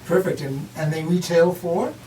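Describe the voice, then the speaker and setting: A man with a fairly high voice acts as host of an audio equipment review. He speaks as an interviewer and reviewer. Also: moderate pace, mellow, slight background noise, low-quality recording.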